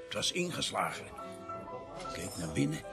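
An actor playing a shaken village man in a radio drama, speaking haltingly in short phrases with a gravelly voice. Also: low voice, big pitch swings, loud music behind the voice. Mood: uneasy. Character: shaken village man, Albert, reporting a grim discovery.

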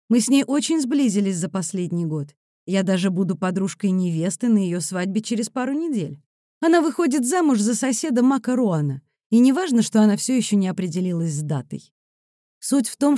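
A woman's voice: medium pitch, mellow, no echo, studio quality. A woman narrating first-person fiction expressively, as an audiobook reader.

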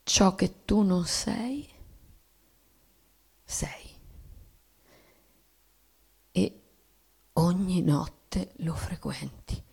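An actress playing a weary, melancholy wife and mother in a theatrical radio play reading, pensive and sinking into breathy murmurs. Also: lowish voice, extremely slow, pauses often, darkish tone, full character voice. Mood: pensive, anguished.

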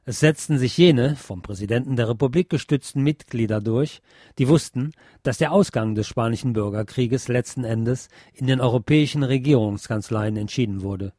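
A man narrates a written history text in the role of podcast host and reader. His voice sits at an average male pitch. The recording is dry, with very little room sound around the voice. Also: normal speed, dark tone, no echo. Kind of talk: scripted narration, reading a history book aloud.

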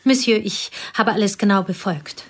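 Radio play: actress as a quiet teacher, the confidante, mid-pitched and a little husky. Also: female voice, normal speed, close to an everyday voice. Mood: restrained. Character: reserved female teacher, dead wife's confidante. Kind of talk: radio drama acting.

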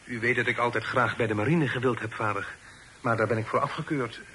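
A man acting in a Dutch radio play as a deferential young gentleman, a grown son with a courteous, slightly hesitant manner. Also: medium pitch, steady tone, fairly quick, slight background noise, natural voice. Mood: earnest, respectful. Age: young adult. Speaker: radio drama actor.

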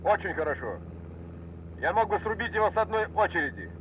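A high, animated male voice of an eager airman, chatty and a little cheeky yet obedient. It is Soviet radio drama on a noisy recording with engine hum. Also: fairly quick. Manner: chatty, slightly impudent, obedient.

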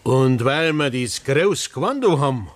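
A man's voice: medium pitch, animated, unhurried, natural voice. An actor playing a hesitant, resigned man with a Bavarian accent in a 1960s radio play, folksy in manner.

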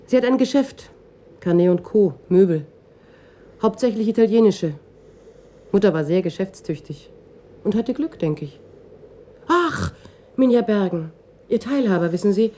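An actress playing a reserved, bereaved daughter of almost thirty in a German radio crime drama, speaking in short, matter-of-fact sentences. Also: female voice, medium pitch, animated, measured pace, pauses often, mellow. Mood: matter-of-fact, reflective. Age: young adult.

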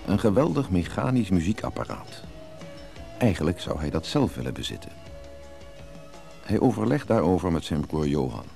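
A man narrating a radio drama serial recap in a calm, formal, scripted way. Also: creaky, exaggerated voice. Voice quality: deep, mellow. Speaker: announcer and hotel clerk.

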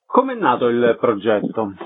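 A man's voice: medium pitch, wildly swooping pitch, moderate pace, husky, no room sound. A man hosting a radio interview, making concise, formal announcements and short questions. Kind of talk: scripted radio presenting. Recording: narrowband lo-fi radio sound.

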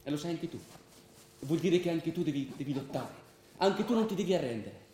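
A man's voice: a little high, expressive, brisk, mellow, close to an everyday voice. A man acting the part of a restless, idealistic, rebellious young man in a theatrical radio drama. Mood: earnest, intense. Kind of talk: theatrical radio drama, dialogue and first-person narration. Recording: roomy stage sound with faint noise.

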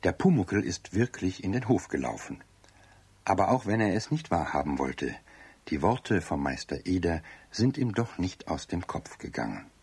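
A man narrating a children's radio play as a storyteller, with a very husky voice. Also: sits low, sing-song, normal speed, a little mellow, full character voice. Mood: calm, storytelling. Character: calm male storybook narrator.

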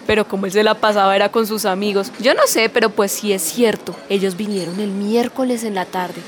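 A woman plays a nosy Colombian café lady in a radio soap. She is colloquial and familiar, fairly high in pitch, with light ambient noise. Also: natural voice. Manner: gossipy, colloquial. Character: gossipy neighborhood café woman, chatty and familiar.

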